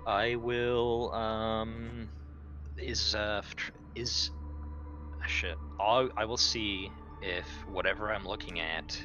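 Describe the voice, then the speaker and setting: A man's voice: fairly low pitch, steady tone, takes it slowly, sharp tone. A man chatting casually as a player in a tabletop roleplaying game. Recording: dull lo-fi mic, music behind. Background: music.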